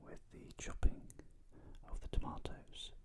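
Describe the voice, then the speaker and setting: A cooking host whispering a gentle, unhurried ASMR narration in a breathy, unvoiced voice, with pauses between phrases.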